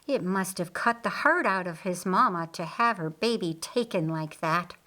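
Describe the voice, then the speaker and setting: A woman's voice: lowish voice, moderate pace, bright, forceful tone. A woman voicing a thoughtful, tender-hearted sister in an audiobook, musing aloud. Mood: sympathetic.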